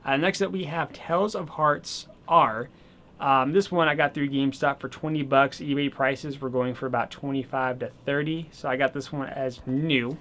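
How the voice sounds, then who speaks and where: A man casually showing off his new video games and accessories, chatty and rambling, slightly hoarse as he says he is losing his voice. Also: medium pitch, steady tone, normal speed, fairly bright tone. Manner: chatty and rambling, with many fillers. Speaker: gaming channel host. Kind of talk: casual video game haul vlog.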